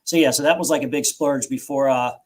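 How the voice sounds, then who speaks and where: A man's voice: medium pitch, steady tone, a little fast. A man in his forties, a guest author recounting his own story in an interview, earnest and reflective, often restarting phrases.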